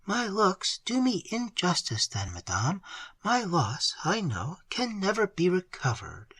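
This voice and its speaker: A reader voicing a dignified, grieving young woman in an audiobook, speaking softly and with restraint. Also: mid-range voice, huge pitch swings, unhurried, breathy edge, no echo, voice pushed up, full character voice. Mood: sorrowful. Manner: soft, quiet, restrained. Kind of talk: audiobook character voice.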